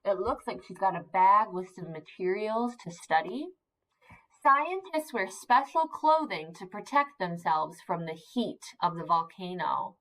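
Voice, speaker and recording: Slow-paced woman teaching young children. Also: mid-range voice, low-quality recording. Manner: encouraging, asks questions and pauses for answers; expressive, simple child-directed delivery with emphasized words. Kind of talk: interactive read-aloud lesson for young children.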